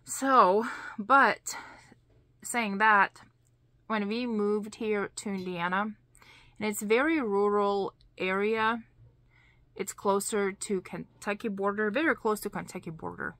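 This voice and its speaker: A woman in her thirties with a Lithuanian accent, chatting to camera in a slow, deliberate storytelling way, full of "you know" and "like.". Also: mid-range voice, fairly bright tone, no room sound. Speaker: vlogger. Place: small indoor craft room.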